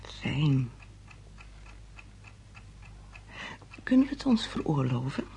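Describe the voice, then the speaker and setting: An actress playing a gentle, puzzled wife in a radio play, softly and tentatively questioning her husband. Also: rumbling low, sing-song, normal speed, vocal fry, slight background noise, heavily acted. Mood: curious. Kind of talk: naturalistic radio play acting.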